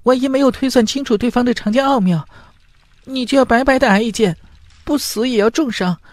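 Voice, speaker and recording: Fantasy audiobook fire spirit, done by a narrator: high-pitched, worried, loyal, urgent warnings, dry sound. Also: fairly quick, no echo, natural voice. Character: fire spirit companion, cautious, anxious, loyal to its master.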